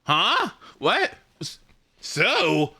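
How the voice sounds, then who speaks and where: A woman's voice: lowish voice, huge pitch swings, very slow, bright, forceful tone, slightly rough. A woman streaming a game, reacting casually and bluntly in an incredulous, exclamatory way. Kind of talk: casual, profane live reaction. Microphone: close streaming microphone.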